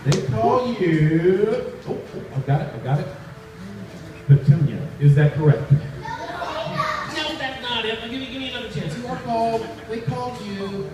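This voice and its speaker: A man's voice: high-pitched, moderate pace. A man hosting a live children's magic show, with theatrical, repetitive, teasing patter to his audience. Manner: repeats phrases, teasing build-ups to the audience. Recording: echoey, noisy, distant live recording.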